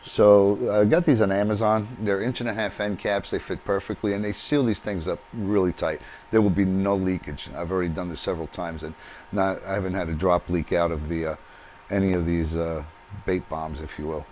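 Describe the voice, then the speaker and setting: A man's voice: deep voice, a little fast, a little mellow, slight background noise. A man hosting a do-it-yourself video, explaining each step as he works with his hands at a workbench in his home workshop. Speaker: DIY channel host. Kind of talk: hands-on how-to demonstration.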